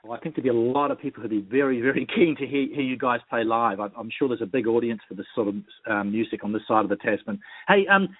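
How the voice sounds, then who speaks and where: A man interviewing a musician on the radio, asking questions in a brisk, friendly way. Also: medium pitch, animated. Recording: dry phone-like radio line.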